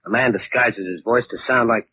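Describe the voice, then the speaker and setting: An actor playing a cool, needling tough detective with slangy, confident talk in a 1940s radio drama. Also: male voice, mid-range voice, normal speed, pushed and bright, slight background noise, close to an everyday voice. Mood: confident, explaining. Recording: muffled old 1940s radio recording.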